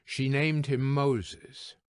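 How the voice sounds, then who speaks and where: A man narrating scripture from a script in a measured, solemn manner. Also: mid-range voice, flat delivery, smooth, no room sound, clean studio sound.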